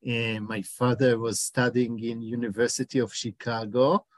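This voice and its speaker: A man in his forties with an Israeli accent, a documentary director answering interview questions in a halting way, often pausing to search for words. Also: medium pitch, flat delivery, no echo, clean studio sound.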